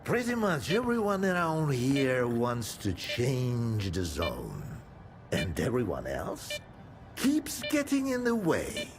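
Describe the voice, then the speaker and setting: An actor playing a laid-back, world-weary man of the wasteland in a game, offhand and unhurried. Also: mid-range voice, huge pitch swings, full character voice. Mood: wry, consoling.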